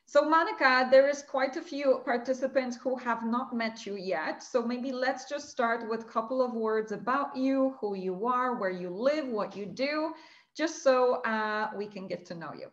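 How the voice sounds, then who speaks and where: An encouraging woman hosts the conversation over a video call, her voice slightly high and upbeat. The audio sounds dull and lo-fi, with a roomy echo around her. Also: normal speed. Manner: upbeat, encouraging, many affirming fillers. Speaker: mentor, interview host.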